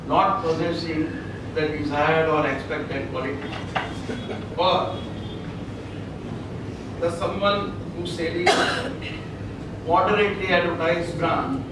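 A man with an Indian accent putting a polite, halting question to a panel, often saying "sir". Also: a little high. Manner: polite, deferential, repeats "sir". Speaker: audience member asking a question. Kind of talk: formal, halting question from the floor. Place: large lecture hall. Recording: echoey hall, far mic, noisy.

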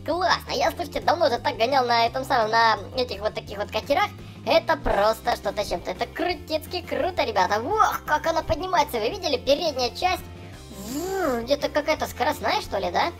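A man's voice: very high-pitched, expressive, brisk, a bit bright. A man voicing a cheerful young clown for small children, gushing and chatty as he narrates gameplay. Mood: excited. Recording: voice over background music. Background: music.

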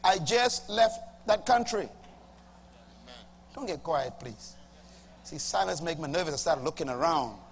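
Man, Bahamian accent, middle-aged, pastor, emphatic sermon, high-pitched, long hall reverb. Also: lively intonation, measured pace, long pauses. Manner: emphatic, swings from loud bursts to low asides. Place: large church auditorium with a congregation. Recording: reverberant hall, faint hum.

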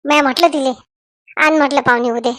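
A woman's voice: high-pitched, steady tone, quick pace, fairly bright tone, no room sound, studio quality. A woman voicing a nagging, insistent village mother in a family comedy cartoon. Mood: insistent, persuading. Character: bossy, nagging village mother arranging her daughter's wedding.

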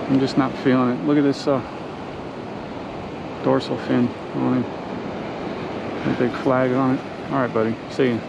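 Male fishing vlogger, gravelly voice, laid-back self-talk, muffled camera mic with loud wind and surf. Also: medium pitch, a little slow, long pauses. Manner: relaxed, talking to himself while fishing. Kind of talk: casual first-person commentary. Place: outdoors on an ocean fishing pier. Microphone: action camera worn on the body.

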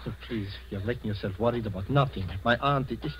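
An actor playing a timid, submissive nephew in a 1940s radio drama, speaking in a hesitant, nervous, obedient manner. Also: male voice, medium pitch, fast, fairly bright tone, natural voice. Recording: noisy 1940s radio recording.

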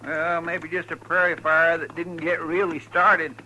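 An actor playing a folksy, earnest sidekick with a rural American drawl, plain-spoken and deferential in a 1950s radio Western. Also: male voice, on the high side, level tone, a little slow, bright, forceful tone, low-quality recording. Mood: curious. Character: folksy, earnest male sidekick to a frontier lawman. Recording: hissy old 1950s radio recording.

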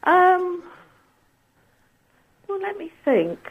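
A woman's voice: extremely high pitch, very animated, extremely slow. A woman, talking informally on a phone call in a hesitant, repetitive way. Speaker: radio phone-in listener.

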